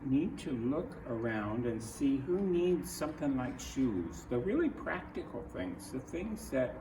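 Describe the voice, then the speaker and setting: A man reading a picture book aloud to children, speaking in simple, deliberate phrases and putting questions to the listener. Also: mid-range voice, sing-song. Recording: roomy, distant mic, clearly noisy.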